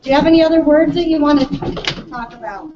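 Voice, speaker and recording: A woman's voice: sits high, fairly quick. A woman speaking casually as a teacher hosting a question session at a school event. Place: room with an audience of children and parents. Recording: muffled audio with loud room noise.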